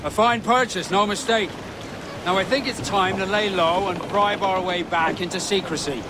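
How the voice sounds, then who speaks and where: A man's voice: high voice, normal speed, noisy background, lightly acted. A man playing a seasoned, principled pirate captain and shrewd mentor in a video game cutscene. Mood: shrewd, approving.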